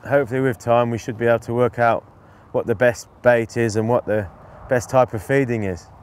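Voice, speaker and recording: A middle-aged man giving relaxed fishing instruction outdoors, in unhurried, halting phrases as he works. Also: mid-range voice, a little hiss or hum. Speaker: angling instructor, presenter. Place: outdoors on an open lakeside bank.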